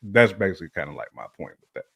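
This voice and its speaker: A man's voice: deep voice, sing-song, racing, creaky, fairly bright tone, breathy edge. A man giving his opinions in casual conversation, emphatic and repeating phrases to drive a point home. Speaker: podcast guest panelist giving opinions.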